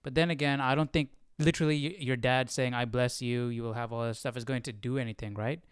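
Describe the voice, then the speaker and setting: Clear-voiced man musing casually, dry sound. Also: mid-range voice, fairly even intonation, quick pace, dry recording. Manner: questioning, thinking aloud, often ending on "right?". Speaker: Bible study host. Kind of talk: casual live commentary.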